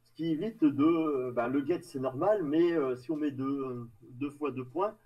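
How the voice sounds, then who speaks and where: A man giving a live technical presentation in a halting, hesitant way, trailing off between phrases. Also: mid-range voice, slightly rough, highs cut off. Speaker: tech meetup presenter. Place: online video meeting with screen share. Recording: distant mic on online call.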